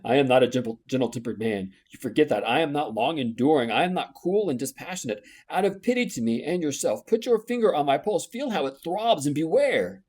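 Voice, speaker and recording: A man voicing a stormy impassioned gentleman. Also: on the high side, lively intonation, normal speed, hardly any pauses, breathy edge, pitched above the performer's own voice. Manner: impassioned, pleading, rising to loud outbursts. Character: passionate middle-aged gentleman, stormy and pleading. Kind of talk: audiobook character reading.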